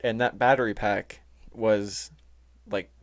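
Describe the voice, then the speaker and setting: A man's voice: fairly low pitch, fairly even intonation, slow pace. A young man co-hosting a podcast in casual chat, with a laid-back, slangy way of talking and some swearing. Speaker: podcast co-host.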